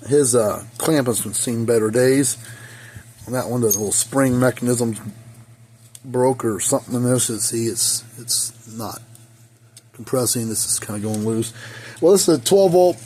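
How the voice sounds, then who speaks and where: A hoarse man repairing equipment in his workshop, rambling and thinking aloud as he works, recorded on a phone. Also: mid-range voice, a little mellow. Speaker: repair technician. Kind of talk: casual workshop repair talk. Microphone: phone camera.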